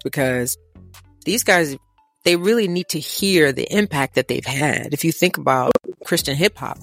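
An American woman hosting a podcast in casual, slangy, expressive conversation. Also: deep voice, dry recording. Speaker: podcast host. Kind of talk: casual chat.

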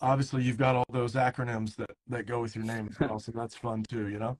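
A man's voice: medium pitch, little pitch movement, brisk. A man in his thirties hosting a baseball podcast, talking loosely with lots of fillers and restarts. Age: 30s. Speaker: podcast host. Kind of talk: casual interview chat. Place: San Diego.

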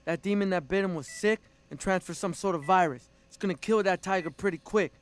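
A man playing a blunt, matter-of-fact colleague in an animated comedy. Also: sits high, a little fast, natural voice. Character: blunt matter-of-fact male colleague giving grim news.

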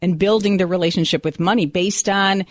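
A woman's voice: lowish voice, fairly even intonation, brisk, dry recording. A woman hosting a podcast, presenting to listeners and interviewing in a direct way. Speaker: podcast host.

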